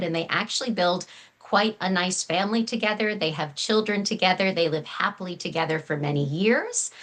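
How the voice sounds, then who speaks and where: A woman's voice: lowish voice, fairly bright tone. A woman speaking as a guest author, explaining earnestly in full, flowing, articulate sentences. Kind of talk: explanatory interview talk.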